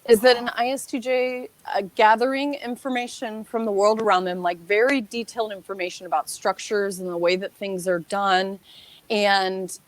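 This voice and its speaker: A woman's voice: mid-range voice, fairly even intonation, no room sound, low-quality recording. A woman guest in a casual discussion, thinking aloud with many restarts and fillers. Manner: thoughtful, halting, many fillers.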